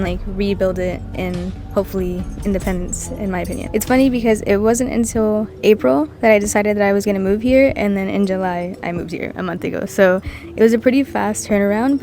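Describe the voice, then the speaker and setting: A young woman from Puerto Rico talks to the camera about her own life in a loose, chatty way. She fills her sentences with "like" and "kinda," and her voice sits at a middle pitch. Music plays clearly underneath her. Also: warm tone. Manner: chatty, frequent "like" and "kinda" fillers. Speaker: vlogger. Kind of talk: casual personal storytelling to camera. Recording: music playing in the background.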